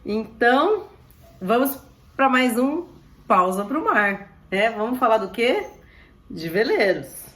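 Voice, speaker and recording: Woman vlogging with a hoarse voice. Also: fairly high pitch, big pitch swings, takes it slowly, long pauses, fairly bright tone. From Ilhabela, Brazil. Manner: chatty and upbeat, with frequent laughs and asides. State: losing her voice, with a cough. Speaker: sailing vlogger. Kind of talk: casual chat to camera.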